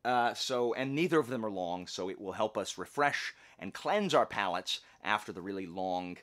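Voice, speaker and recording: A man with a fairly low voice that swings widely up and down as he talks. He chats like a vlog host, loose and folksy, with jokey asides and self-interruptions. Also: lively intonation, moderate pace, a bit bright. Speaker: book channel host. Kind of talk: casual vlog chat.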